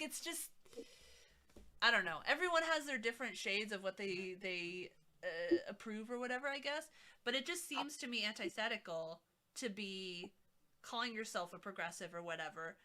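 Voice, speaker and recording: A woman's voice: a little high, animated, normal speed, pushed and bright. A woman streamer chatting casually while she arranges craft beads, laughing often and trailing off with "I don't know.". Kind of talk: casual chat.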